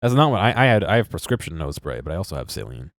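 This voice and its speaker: A man with a very low voice and a congested, stuffy sound talks casually to his stream chat. He rambles, restarts his sentences and laughs at himself. The sound is close and dry, with no room around it. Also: big pitch swings, quick pace, no echo.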